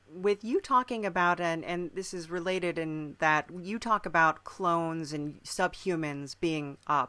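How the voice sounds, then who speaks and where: A man interviewing casually on a podcast, halting as he searches for words to frame his questions. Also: on the high side, sharp tone, clean tone, dry recording.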